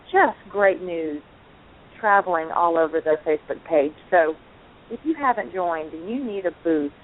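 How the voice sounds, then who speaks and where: A woman's voice: on the low side, a little hiss or hum. A woman co-hosting a spiritual talk show, speaking enthusiastically and effusively. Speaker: co-host. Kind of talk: casual chat on a call-in show. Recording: tinny phone line.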